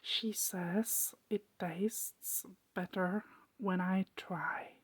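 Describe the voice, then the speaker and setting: A woman voicing a solemn, mysterious male vampire in an ASMR story, in a hushed, halting whisper. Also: fairly low pitch, fairly even intonation, heavily acted. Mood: wistful. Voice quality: breathy whisper.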